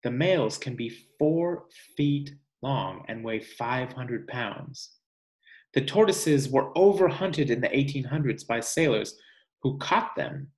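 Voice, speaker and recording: Male, 30s, unhurried picture-book reader, deliberate and pausing, recorded at home on a device mic. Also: medium pitch. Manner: deliberate, pausing, musing asides. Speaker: read-aloud reader. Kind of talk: reading a children's nonfiction picture book aloud, with asides. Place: small indoor room at home.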